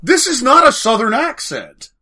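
Profane exasperated man, bright lively voice. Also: extremely high pitch, normal speed, slightly rough. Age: adult, married. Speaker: podcast co-host. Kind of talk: casual podcast chat.

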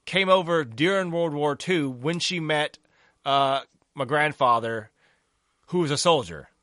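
A man in his forties from the American South, joking and cursing his way through loose podcast chatter. His voice is bright and forward, recorded close and dry with no room sound. He rambles and restarts, laughing at his own stories. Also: on the high side, measured pace, dry recording. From Southern United States. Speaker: podcast co-host. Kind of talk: casual podcast banter.

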